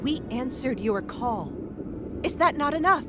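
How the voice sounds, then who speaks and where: A woman's voice: high voice, expressive, unhurried, music in the background. An actress playing an earnest, determined female adventurer who guides her allies in a video game. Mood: alarmed, indignant. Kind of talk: video game cutscene acting.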